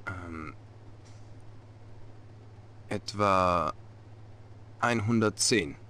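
A man's voice: sits low, very slow, sharp tone, full character voice. A middle-aged man playing a dutiful, defensive Soviet military officer in a dubbed video game cutscene, formal and clipped.

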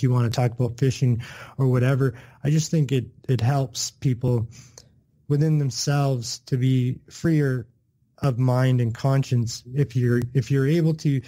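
A man's voice: mid-range voice, dark tone, no room sound. A man from northern Canada with a Canadian accent, a podcast guest earnestly explaining his views in casual conversation, often restarting his words. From Canada, Thunder Bay.

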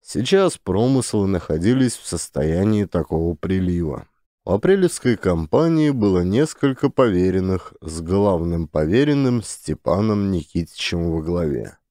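A man narrating a classic story, measured and expressive, close to the microphone. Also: deep voice, no room sound. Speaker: audiobook narrator.

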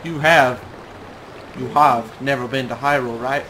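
A man reading as a gentle village storyteller with a halting drawl. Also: medium pitch, steady tone, a little hiss or hum, poor audio. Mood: gentle, wistful. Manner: slow halting read with a put-on drawl. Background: game music.

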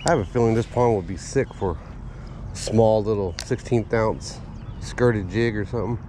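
A man giving casual running commentary while fishing at a park pond, talking to an action camera and getting excited over his catches. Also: on the low side, moderate pace. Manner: easygoing, talks to himself and the camera, excited by catches. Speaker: fishing vlogger. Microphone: chest- or head-mounted action camera. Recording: faint outdoor noise.

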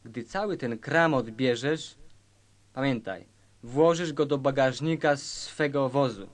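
A male actor plays a cool, calculating criminal lover in a satirical radio drama. He speaks very slowly at a medium pitch, deliberately, as if handing out instructions. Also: natural voice. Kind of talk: satirical radio play acting.

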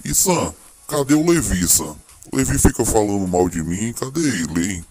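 A man voicing a proud, boastful monster king who taunts his rival in a fan-made animated reaction video. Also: on the low side, moderate pace. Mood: casual. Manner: taunting, indignant, addresses rival directly.

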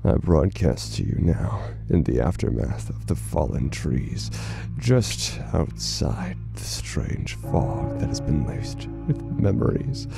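A man playing a formal but fragile, unhinged broadcaster in an audio horror drama, speaking haltingly and theatrically. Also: very deep voice, very animated, gravelly, over music, full character voice. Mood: grave, formal. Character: unhinged male broadcaster, grave, formal, fragile, devoted to his council.

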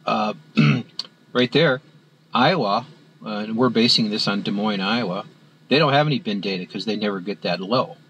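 A man walking through a spreadsheet on screen as an instructor, talking in a halting way with many fillers and restarts. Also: lowish voice, a bit bright, a little hiss or hum, poor audio. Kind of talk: screen-recorded software walkthrough.

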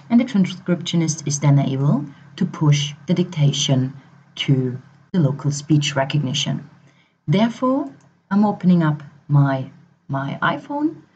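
A woman narrating a product demonstration step by step in a halting way, as a voice-over. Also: low-pitched, dark tone, husky. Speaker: software demo presenter. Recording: dull, slightly muffled voice-over.

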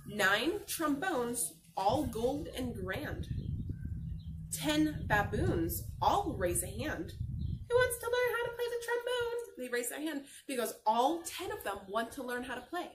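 A woman's voice: sits high, very animated, a little slow. A young adult woman reading a picture book aloud to children as a teacher, expressively, asking questions and answering them. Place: outdoor patio by a garden. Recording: noisy outdoor camera recording.

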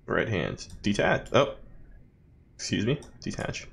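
A man with a fairly low voice hosts a gaming video. He talks casually and rambles along, joking drily as he comments on the game. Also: sing-song, slow pace, vocal fry, fairly bright tone. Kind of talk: casual let's play commentary.

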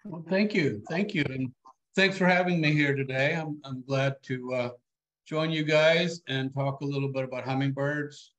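A man's voice: medium pitch, normal speed, rough recording. Swedish-born older man, easygoing talk. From Sweden. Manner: relaxed, joking, many restarts and fillers. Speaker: guest speaker. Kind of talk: casual lecture over a video call. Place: home office. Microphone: video call.

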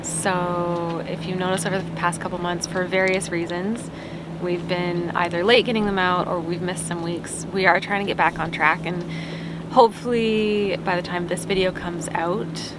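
A woman's voice: fairly low pitch, fairly even intonation, normal speed. A young woman co-hosting a sailing vlog, chatting upbeat and casually to camera from a boat cockpit. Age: young adult. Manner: chatty, upbeat, laughs often. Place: outdoors in a sailboat cockpit at anchor. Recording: outdoor boat recording with ambient noise.

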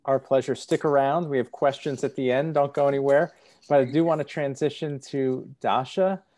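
Brief: middle-aged male moderator, warm mellow tone, upbeat, earphone mic on a call. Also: medium pitch, fairly even intonation, moderate pace. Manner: friendly, upbeat transitions. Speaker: panel moderator. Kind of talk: moderating a video panel. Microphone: earphone headset on video call.

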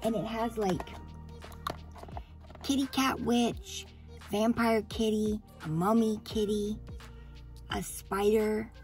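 A woman vlogger talking casually to camera, hoarse and a little scratchy after surgery, chatty and rambling as she gushes. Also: medium pitch, fairly even intonation, slow pace, pauses often, darkish tone, soft background music. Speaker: beauty vlogger.

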